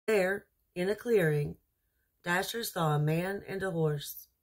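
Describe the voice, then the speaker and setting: A woman narrates a children's storybook at a slow, measured pace. Her voice sits slightly low and has a husky softness. She reads expressively. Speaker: storyteller reading aloud.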